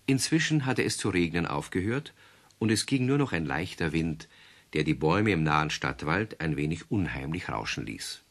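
A man narrating a children's radio play in a measured storytelling way. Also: sits low, big pitch swings, fairly bright tone. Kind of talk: scripted narration.

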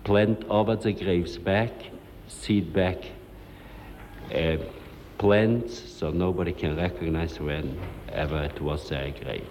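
A man giving halting testimony, recalling things in short broken phrases. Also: deep voice, fairly even intonation, darkish tone. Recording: long reverberant hall, faint noise.

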